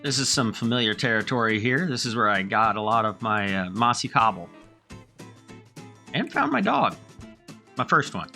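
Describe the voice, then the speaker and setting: A man with a slight head cold, narrating his own game playthrough in a friendly, relaxed way. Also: on the low side, moderate pace, creaky, long pauses, faint music behind. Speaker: let's play host. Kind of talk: gameplay commentary.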